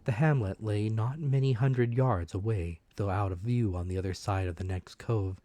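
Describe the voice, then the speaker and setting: Low-voiced man narrating, dry close sound. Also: normal speed, warm tone, dry recording. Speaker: audiobook narrator. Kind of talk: dramatic scripted narration of a classic adventure story.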